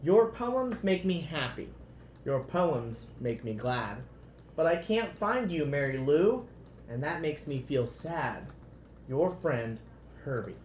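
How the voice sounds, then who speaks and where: A man plays an earnest, lovestruck slug from a children's storybook. He recites rhyming notes slowly and sincerely, with a lilting, swinging pitch in a roomy echo. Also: big pitch swings, darkish tone. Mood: wistful. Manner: sincere, singsong rhyming delivery. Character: earnest, lovestruck male slug writing poems. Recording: roomy echo, faint background noise.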